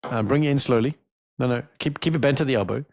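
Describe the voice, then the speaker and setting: A man with a gravelly, fry-edged voice chats loosely on a podcast. He tells stories animatedly and jokes around. The sound is dry and close, with no room around it. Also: mid-range voice, quick pace, no echo. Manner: animated, jokey storyteller. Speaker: podcast co-host. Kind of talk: casual podcast chat.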